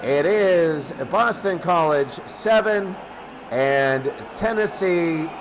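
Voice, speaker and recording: A man's voice: on the high side, animated, extremely slow, noisy background. A man doing football play-by-play as the announcer, often repeating his phrases. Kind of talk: amateur football play-by-play commentary.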